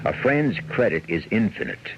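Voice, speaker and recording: A man playing a solemn, portentous host, narrating a radio mystery in a measured, dramatic way with a gravelly voice. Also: lowish voice, very animated, background noise. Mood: solemn, musing. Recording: muffled vintage radio recording. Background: loud music.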